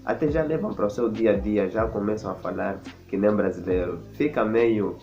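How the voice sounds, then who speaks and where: A man from Mozambique with a Mozambican Portuguese accent and a low voice talks through his topic in a relaxed vlog. He often stumbles and repeats small words while he looks for the right phrase. The audio sounds dull and lo-fi, with faint music underneath. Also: normal speed. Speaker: vlogger. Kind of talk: casual explanatory vlog.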